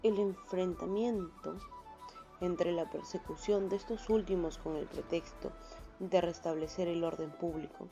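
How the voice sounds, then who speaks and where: A woman's voice: lowish voice, fairly even intonation, measured pace, warm tone. A woman reading scripted narration for a radio novel in a dull, lo-fi recording. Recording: dull lo-fi sound. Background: faint music.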